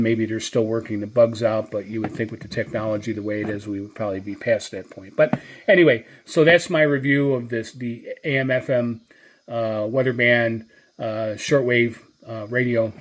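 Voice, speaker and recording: A man's voice: mid-range voice, normal speed, slight background noise. A man giving a casual, unscripted product review as a channel host, speaking hesitantly and often circling back over his points.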